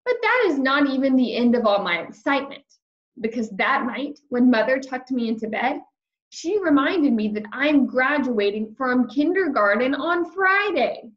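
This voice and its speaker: A woman reading a children's chapter book aloud in an expressive storytelling style. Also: sits high, moderate pace, lo-fi sound. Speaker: storybook reader.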